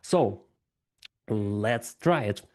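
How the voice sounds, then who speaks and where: A man's voice: lowish voice, lively intonation, very slow, darkish tone. A man giving a technical conference talk, thinking aloud and explaining ideas step by step. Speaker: conference speaker. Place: event stage.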